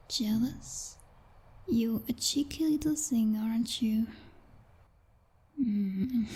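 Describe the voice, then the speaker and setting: A woman's voice: fairly high pitch, dark tone, husky, full character voice. A woman playing a jealous, possessive vampire girlfriend in an ASMR roleplay, whispering breathily in a teasing, commanding and condescending way. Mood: teasing, domineering.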